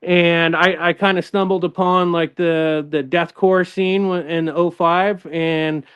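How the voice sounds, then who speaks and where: A man's voice: on the high side, steady tone, takes it slowly, a bit bright, smooth, dull audio. A middle-aged man from Northern California, a guest guitarist in a casual interview, easygoing and rambling with lots of fillers and restarts.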